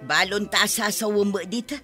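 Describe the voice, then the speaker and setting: A woman's voice: mid-range voice, moderate pace, close to an everyday voice. A woman playing a stubborn, scolding older village mother in a radio drama, with a piercing voice. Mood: grumbling. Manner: scolding, combative. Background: music.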